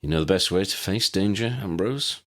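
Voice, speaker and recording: A man's voice: sits low, lively intonation, normal speed, fairly bright tone. A man voicing a brave, decisive young male wizard in a direct, urgent way. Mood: resolute.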